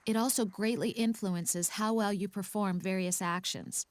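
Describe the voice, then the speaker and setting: A woman's voice: mid-range voice, steady tone, moderate pace, no room sound, close to an everyday voice. Female game counselor, played by an actress: caring yet insistent, mid-range voice, dry recording. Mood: instructive. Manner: clear explaining, gently insistent. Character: caring but firm female counselor advisor. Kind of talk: video game voice acting.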